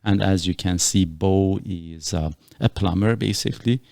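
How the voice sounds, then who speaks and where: Middle-aged podcast host from Iran with a very deep, gravelly voice. He rambles earnestly through his explanations, full of fillers, in a dry close recording. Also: normal speed, a little mellow, no echo. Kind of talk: casual podcast chat.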